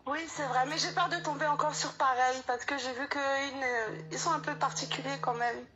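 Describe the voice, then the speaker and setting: A woman in her thirties from France, a caller talking haltingly about her troubles. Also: high-pitched, steady tone, bright, forceful tone, dull audio, faint music behind. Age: 30s. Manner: hesitant, halting.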